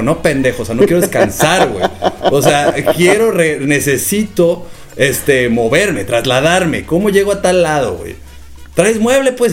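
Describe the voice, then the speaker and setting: A young Mexican man with a Guadalajara accent talks in a rapid, slang-heavy way with plenty of swearing. His voice sits a bit on the high side. Faint music plays behind him. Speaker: podcast co-host. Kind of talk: casual podcast chat.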